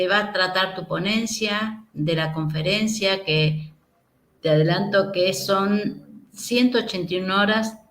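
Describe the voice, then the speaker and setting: A woman's voice: mid-range voice, a bit bright. A woman with a South American voseo Spanish accent, hosting an interview and putting questions to a guest. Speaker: interviewer, conference host. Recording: echoey room sound.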